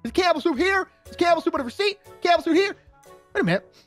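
A man's voice: very high-pitched, expressive, quick pace, faint music behind. A young man clowning and exclaiming through playful, improvised gameplay roleplay commentary. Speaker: gaming video player.

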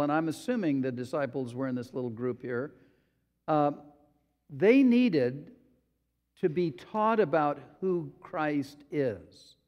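A male pastor preaching a sermon in a slow, deliberate way, sometimes acting out quotes. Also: fairly high pitch, lo-fi sound. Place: church sanctuary. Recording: long hall reverb.

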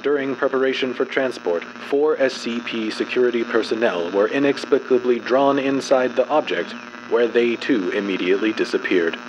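A man narrating a horror fiction file as a dramatic reading, in a formal, clinical, measured delivery. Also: mid-range voice, noisy background.

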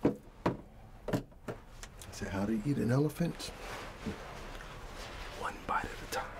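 A middle-aged man doing a casual ASMR barber roleplay, playing an easygoing uncle in a soft, breathy, often whispered voice and pausing for unheard replies. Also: medium pitch, expressive, very noisy. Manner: unhurried, familiar and colloquial, with pauses for unheard replies. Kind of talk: ASMR roleplay, casual one-sided chat.

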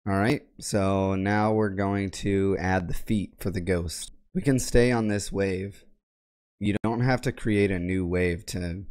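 A young man with a raspy, sick voice giving step-by-step software instructions in a plain, methodical way, clearing his throat now and then, recorded at home on a boom mic. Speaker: tech tutorial creator. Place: home gaming room, indoors. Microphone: boom mic on arm with headset.

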